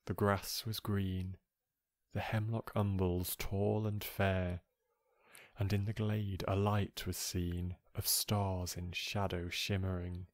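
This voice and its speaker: A man with a soft voice reading poetry aloud in a measured, gentle, calming way. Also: sits low, no echo, clean studio sound. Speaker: poetry reader. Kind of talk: slow, calm recited poetry reading for relaxation.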